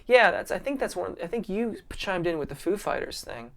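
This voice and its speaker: A man's voice: sits high, lively intonation, moderate pace, vocal fry, fairly bright tone. A man, a vocal coach, hosting a casual live-stream Q&A, reading viewer comments and answering in a friendly, appreciative way. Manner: friendly and appreciative, many ums and restarts.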